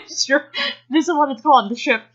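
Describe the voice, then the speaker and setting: A woman's voice: sits high, normal speed, fairly bright tone. A woman giving an amateur dramatic reading of fan fiction, giggly and given to casual asides. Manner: giggly, often breaks off to react. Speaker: reader. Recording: dull lo-fi home recording.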